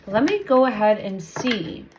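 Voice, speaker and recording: A woman's voice: fairly high pitch, sing-song, moderate pace, background noise. A woman hosting a casual tarot reading, chatty and meandering, repeating her phrases, and still recovering from a cold. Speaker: tarot reader host.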